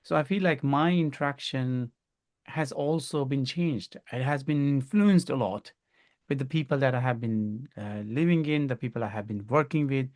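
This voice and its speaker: A man's voice: medium pitch, normal speed, a little mellow. A middle-aged man from Pakistan with a Pakistani accent, hosting a conversational interview and often rephrasing as he searches for words. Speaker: podcast host.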